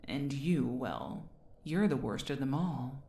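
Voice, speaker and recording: Low, haughty demon queen, acted by a woman. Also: lively intonation, warm tone, echoey room, heavily acted. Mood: contemptuous. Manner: imperious, condescending, theatrical, drawn-out. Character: haughty demon queen, menacing yet teasing captor. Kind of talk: ASMR roleplay acting.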